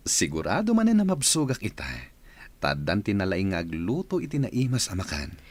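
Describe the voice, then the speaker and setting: A man's voice: lowish voice, wildly swooping pitch, moderate pace, no echo. A man acting in a radio drama as a flustered, evasive cheating husband who hesitates and placates. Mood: nervous. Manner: hesitant, stammering excuses.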